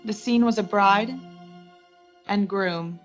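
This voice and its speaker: A woman's voice: medium pitch, takes it slowly, lo-fi sound, loud music behind the voice, close to an everyday voice. A young woman acting an earnest, lovestruck, wounded heroine who narrates a 1940s-style radio suspense play. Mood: grim, resigned. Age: young adult. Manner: earnest, dramatic. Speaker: high school theatre student actor.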